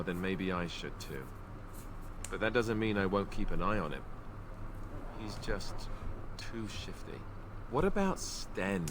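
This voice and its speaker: A man's voice: sits low, background noise, exaggerated voice. An actor playing a wary, gossipy young male companion in a fantasy video game, conversational and wry. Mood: wary, curious.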